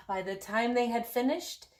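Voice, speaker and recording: A woman reading a picture book aloud in an expressive way, with emphatic stresses and drawn-out phrasing. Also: fairly high pitch. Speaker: storybook reader.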